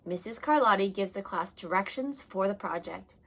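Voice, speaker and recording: Woman storyteller reading a picture book aloud, expressive, mid-pitched. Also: moderate pace, creaky, a little hiss or hum. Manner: expressive, dropping soft for sad moments. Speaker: reader.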